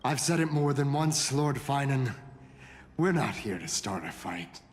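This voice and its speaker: An actor playing a smug, sly villain's henchman in a fantasy game, mocking his listener with drawn-out theatrical pauses. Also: mid-range voice, sing-song, in a large hall, a little hiss or hum. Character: sly, taunting male villain henchman, smugly unhurried. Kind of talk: dramatic fantasy game voice acting.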